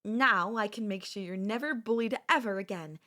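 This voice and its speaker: A young woman playing an obsessive, possessive kidnapper and childhood friend in an ASMR audio roleplay, speaking in a soft, intimate, pleading manner. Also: medium pitch, moderate pace, close to an everyday voice. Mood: tender, relieved.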